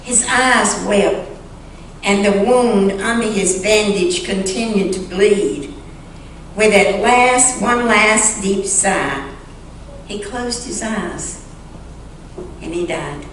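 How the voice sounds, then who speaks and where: Slow-reading woman in an echoey church. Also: mid-range voice. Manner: slow, deliberate phrasing with pauses. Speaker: church member reading a short story aloud. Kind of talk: solemn dramatic story reading in church. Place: large church sanctuary. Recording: echoey church hall, distant mic, faint noise.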